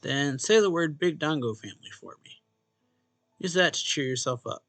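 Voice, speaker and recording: A man's voice: fairly high pitch, expressive, moderate pace, a bit bright, dry recording. A man reading a visual novel's narration and dialogue aloud expressively, as a let's play host. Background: game music.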